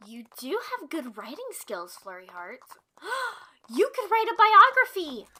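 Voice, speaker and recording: A woman voicing a bubbly, caring teenage girl pony in a fan audio drama, very expressive. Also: high voice, a little slow, full character voice. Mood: encouraging. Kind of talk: animated-fandom audio drama acting.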